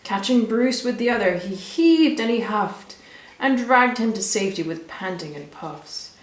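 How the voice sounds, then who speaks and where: A woman reading a rhyming children's story aloud with expressive, rhythmic delivery. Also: medium pitch, measured pace. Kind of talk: scripted storybook narration for children. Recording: echoey room.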